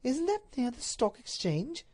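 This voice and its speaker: A woman voicing an anguished, highly strung duchess in a storybook reading, pleading and breathless in a melodramatic way. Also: sing-song, measured pace, darkish tone, full character voice. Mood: puzzled.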